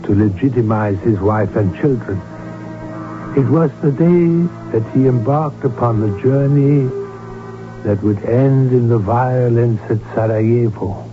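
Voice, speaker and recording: An actor playing a courteous court doctor who narrates a radio drama in a measured, confiding way. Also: male voice, mid-range voice, natural voice. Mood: confiding, solemn. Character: courteous court doctor recounting his memories. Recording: muffled radio broadcast with music behind.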